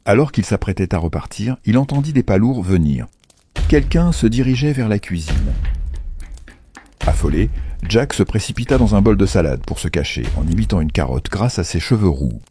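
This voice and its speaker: A male narrator tells a children's tale in a deep, mellow voice. His delivery is animated and playful, with wide swings of intonation. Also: slight background noise. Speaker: storyteller, narrator.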